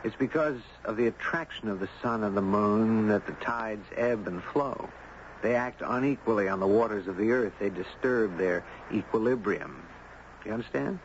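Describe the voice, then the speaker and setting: Deep-voiced, courteous man, acting in old radio drama. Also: moderate pace, lightly acted. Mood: friendly, inquiring. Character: courteous, curious man, narrating his own strange tale. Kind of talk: 1970s radio drama narration and dialogue. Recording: muffled, noisy 1970s radio broadcast.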